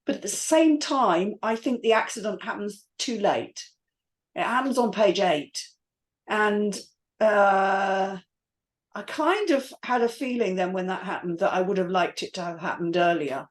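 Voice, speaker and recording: A woman's voice: mid-range voice, unhurried. A woman hosting a panel, giving casual feedback with hesitant, tentative phrasing. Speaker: panel host.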